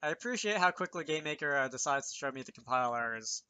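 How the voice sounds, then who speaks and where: Bright-voiced man talking through a coding tutorial. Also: fairly high pitch, expressive, fast, clean tone, no room sound. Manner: self-deprecating asides while explaining. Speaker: game programming tutorial presenter. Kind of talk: informal screen-recorded coding tutorial, thinking aloud. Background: keyboard typing and mouse clicks.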